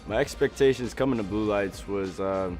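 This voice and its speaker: A young man from Delaware, a college freshman, answering interview questions in an upbeat way with small filler pauses. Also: fairly low pitch, darkish tone. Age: young adult. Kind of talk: recorded player interview. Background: music bed.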